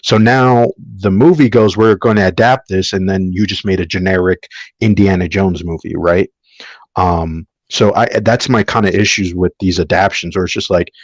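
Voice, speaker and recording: A man's voice: low-pitched, no echo. A man on a podcast panel giving his opinion in casual discussion, argumentative and often checking with "right?".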